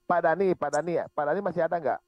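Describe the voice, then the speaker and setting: A man's voice: on the high side, steady tone, fast, no echo. A man hosting an online religious debate, pressing the others for answers. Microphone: online group call. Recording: muffled lo-fi online call audio.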